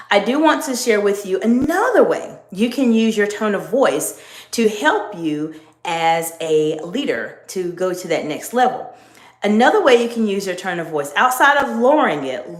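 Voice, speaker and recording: A middle-aged woman coaching viewers on leadership in a live stream, speaking in a measured, emphatic teaching tone. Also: on the low side. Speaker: executive coach. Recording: echoey room.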